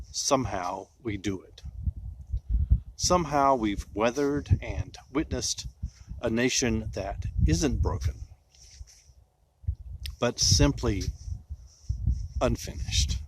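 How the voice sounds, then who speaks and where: A man reciting poetry in a solemn style, with deliberate phrasing and dramatic pauses. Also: lowish voice, slight background noise, low-quality recording.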